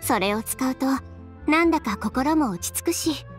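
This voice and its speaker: An actress playing a confident, sharp-tongued young fashion designer in a video game, brisk, matter-of-fact and dryly teasing. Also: female voice, high voice, lively intonation. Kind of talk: video game voice acting in Japanese. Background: game music.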